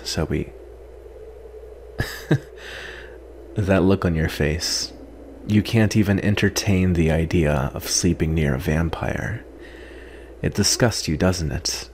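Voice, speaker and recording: A man acting out a proud, sardonic captive vampire in a soft-spoken, disdainful ASMR roleplay. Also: deep voice, a little hiss or hum. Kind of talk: ASMR roleplay, acted monologue.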